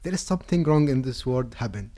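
A man in his twenties from Syria, telling his story in broken, halting phrases full of fillers. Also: medium pitch, lively intonation, a loud crowd. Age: 20s. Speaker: interviewee, engineer. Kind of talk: interview answers in English.

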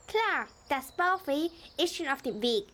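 A woman's voice: high voice, expressive, a little slow, fairly bright tone. A female performer playing a naive little kindergarten girl, innocent and matter-of-fact, in a children's radio play.

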